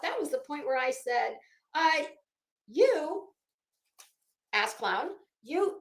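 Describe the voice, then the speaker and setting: A woman reading aloud with mocking commentary, sarcastic and ranting, swearing freely and stopping for halting, drawn-out emphasis. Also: high-pitched, fairly bright tone. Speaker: commentary vlogger. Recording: roomy, echoing room sound.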